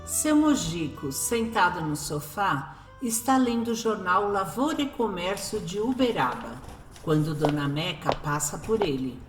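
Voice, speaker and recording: A woman narrates a scripted radio drama. Her voice is slightly low and very unhurried, with echo around it. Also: expressive. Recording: echoey, music behind. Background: music.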